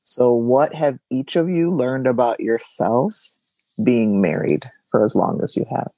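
A man in his thirties hosting a podcast, asking measured, thoughtful interview questions. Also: mid-range voice, creaky, warm tone, no echo, poor audio. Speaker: podcast host.

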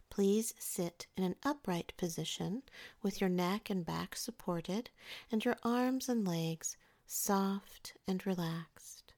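A woman narrating a scripted guided meditation in a soothing, measured way, softening the ends of her phrases. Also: mid-range voice.

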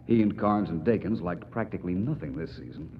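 An American actor playing a suave, thoughtful gentleman detective, polished and probing, in a 1940s radio drama. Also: low voice, brisk, lightly acted. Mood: urbane, probing. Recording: muffled, noisy 1940s radio recording.

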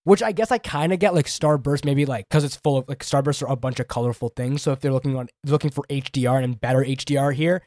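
A young man co-hosting a casual tech podcast, chatty and quick, a little under the weather. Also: mid-range voice, a little mellow, no room sound, clean studio sound. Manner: quick, chatty, many restarts and "like"s. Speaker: podcast co-host.

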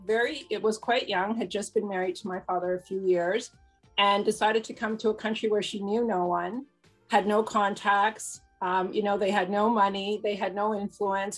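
A woman speaks as an interview guest at a middle pitch, with soft music underneath. Her delivery is measured and gracious, in the reflective style of someone telling a personal story. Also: poor audio. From born in Trinidad, moved to Canada as a child.

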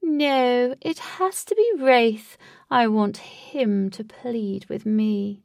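A woman voicing a crazed, taunting male master of a sinister ride in a storybook, with theatrical, drawn-out mockery. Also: fairly high pitch, creaky, a little mellow, dry recording, clean studio sound, recorded from a distance, pitched above the performer's own voice, exaggerated voice. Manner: theatrical, drawn-out mocking delivery.

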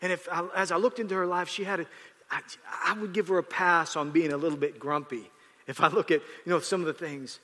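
Bright-voiced male pastor in a reverberant hall. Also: a little high, steady tone, fairly quick. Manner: rambling, self-correcting, laughs at times. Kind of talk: conversational sermon storytelling.

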